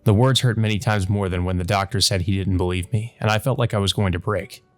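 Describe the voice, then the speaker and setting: A man's voice: deep voice, steady tone, fast talker, no echo. A man reading visual novel text aloud as a let's play narrator, dropping in casual asides. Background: music.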